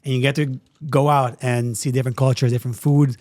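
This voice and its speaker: A man's voice: mid-range voice, little pitch movement, darkish tone. A man from Aruba co-hosting a podcast, telling stories in a blunt, joking way. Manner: fast, blunt, joking storyteller. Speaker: podcast co-host. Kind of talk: casual podcast chat.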